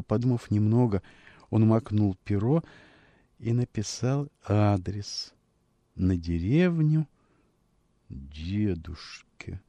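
A man narrating a classic story for radio, with expressive, measured, dramatic storytelling. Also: on the low side, no room sound.

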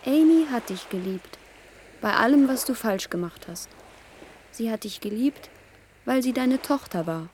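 Virtual doll-like girl, radio drama: measured, unhurried, mournful yet defiant, higher register, over noisy ambience. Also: lively intonation, a little mellow. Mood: quietly defiant. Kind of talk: German radio play acting.

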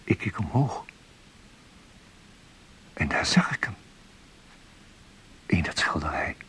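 An actor playing a dazed, horrified man narrating a nightmare in a radio play, hushed, husky and haunted. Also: low voice, very animated, slow pace, in a whisper, heavily acted.

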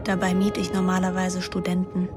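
Frank young daughter, played by an actress, music behind. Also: female voice, fairly low pitch, monotone, a little fast, close to an everyday voice. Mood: reflective. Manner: direct, dry, teasing. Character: frank, teasing young daughter narrating a summer memory. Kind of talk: radio play narration and dialogue.

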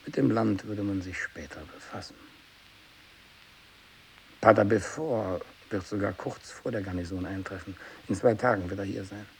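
An actor playing a tormented, fanatical renegade missionary who worships evil, in a feverish radio drama monologue from the 1960s. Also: male voice, moderate pace, gravelly. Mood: tense, brooding. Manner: feverish, swinging from hushed whispering to shrill ecstatic cries. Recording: hissy 1960s radio recording.

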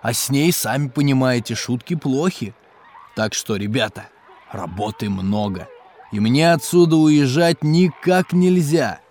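An actor playing a hospitable, jolly geologist and cook at a polar station in a children's educational audio drama, with an animated, explanatory way of talking. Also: male voice, mid-range voice, unhurried, slight background noise, lightly acted. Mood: enthusiastic explaining. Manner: animated, chuckling, explanatory, hearty.

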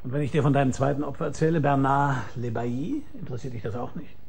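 Actor as a dry, sardonic private detective. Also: male voice, medium pitch, vocal fry, a little mellow, slight background noise, close to an everyday voice. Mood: mocking probing. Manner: dry, mocking, deliberate storytelling. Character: sardonic, shrewd private detective who tells the story himself. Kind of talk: German radio crime drama acting with first-person narration.

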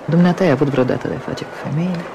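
A woman's voice: deep voice, background noise. An actress playing a teasing, ironic society lady with an arch, theatrical manner in a Romanian radio play. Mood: flirtatious, weary.

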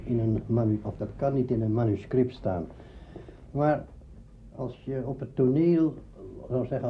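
An older man, a veteran radio actor, reminiscing and explaining deliberately in an interview. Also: mid-range voice. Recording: dull lo-fi archive recording, faint noise.

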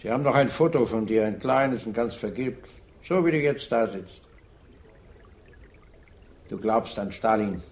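An actor playing a grave war veteran in a radio drama, addressing a fallen comrade in a solemn, deliberate way. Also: male voice, on the low side, darkish tone, lightly acted. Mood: solemn, insistent.

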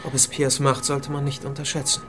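An actor playing a disillusioned, courteous politician in a radio play, speaking in a measured, formal way. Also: male voice, mid-range voice, completely flat delivery, music in the background, lightly acted. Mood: bitter, measured. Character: disillusioned, earnest politician, gently courteous lover.

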